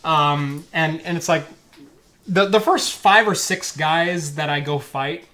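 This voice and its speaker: A man's voice: on the high side, slow, pushed and bright, a little hiss or hum. A man hosting a casual tech podcast, still getting over a cold and sniffling, chatting in a laid-back, jokey way with many fillers into a close desk mic. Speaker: show host. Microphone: desk microphone close by.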